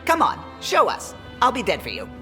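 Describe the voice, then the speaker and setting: Theatrical, swooping alien crewmate. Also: male voice, very high-pitched, wildly swooping pitch, normal speed, bright, forceful tone, exaggerated voice. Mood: playful. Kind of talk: video game character acting. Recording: reverberant, music underneath.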